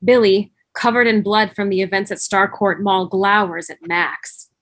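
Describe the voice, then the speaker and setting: A woman's voice: mid-range voice, a little slow, fairly bright tone, no room sound. Dry-sounding woman narrating a script. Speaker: stage-direction narrator. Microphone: video call.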